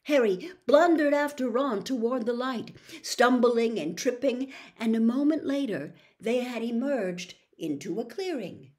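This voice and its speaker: A woman narrating a storybook as its reader, with an expressive, suspenseful delivery. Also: on the high side, a little slow, hardly any pauses. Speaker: audiobook reader.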